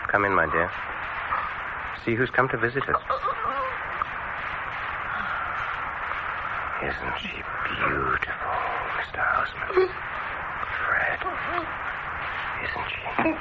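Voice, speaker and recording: An actor playing a vengeful, softly menacing male dentist in a radio horror drama, savouring his cruelty in deliberate, gloating phrases with long pauses. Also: fairly low pitch, huge pitch swings, full character voice. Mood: sinister relish. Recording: muffled, very noisy old radio recording.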